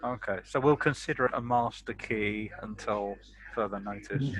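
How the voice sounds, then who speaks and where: A man's voice: lowish voice, moderate pace, a bit bright. A man playing a tabletop role-playing game, bantering casually with friends.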